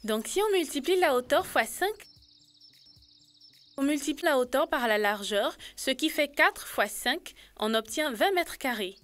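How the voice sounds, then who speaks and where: A woman's voice: high voice, lively intonation, unhurried, a bit bright, faint music behind. A woman voicing an earnest, clever young girl who explains things in a French children's cartoon. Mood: explaining. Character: earnest, clever young schoolgirl taking charge.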